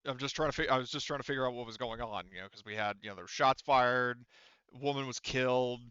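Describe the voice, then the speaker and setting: A man's voice: mid-range voice, quick pace, sharp tone, smooth, dry recording, highs cut off, lightly acted. Man playing a stammering, flustered security officer. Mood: flustered, placating. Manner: stammering, hesitant, placating. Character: flustered, apologetic male landing field security officer.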